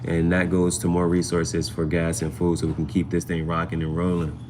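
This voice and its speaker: A man with a fairly low voice talks informally to his livestream viewers as an amateur tarot reader. He rambles and repeats phrases to stress them. A steady, noticeable noise sits behind his voice. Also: moderate pace. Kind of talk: casual livestream chat to viewers.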